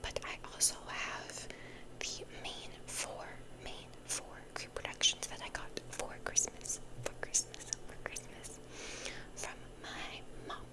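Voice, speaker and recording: A woman's voice: slow, low-quality recording. A young woman hosting ASMR, rambling casually in a whisper and repeating words and phrases for effect. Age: young adult. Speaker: ASMR video host.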